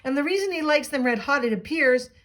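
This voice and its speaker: Woman acting an eager high-voiced boy ringmaster. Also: fast talker. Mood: showy, proud. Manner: excited barker-like proclaiming in rhyme. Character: eager young boy, boastful circus ringmaster and showman.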